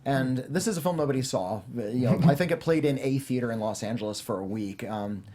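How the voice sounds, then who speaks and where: A man's voice: medium pitch, brisk, mellow. A man hosts a casual movie discussion show in a middle-register voice. He is talkative, laying out his points as explanations to the panel. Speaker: host of a film discussion show. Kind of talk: casual film review chat.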